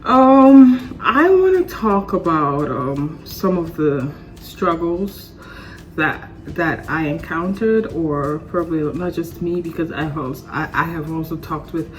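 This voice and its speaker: A young woman from Sierra Leone, hoarse from a cold, talking casually to her viewers in a chatty vlog. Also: measured pace, reverberant, recorded from a distance, a little hiss or hum. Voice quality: deep voice. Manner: chatty, lively and warm to viewers, often laughing. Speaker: vlogger. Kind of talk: casual talk to camera.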